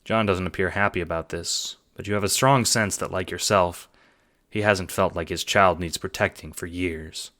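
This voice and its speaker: A man's voice: sits low, moderate pace, a bit bright. A man reading scripted audiobook narration as the narrator of an audio drama. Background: music.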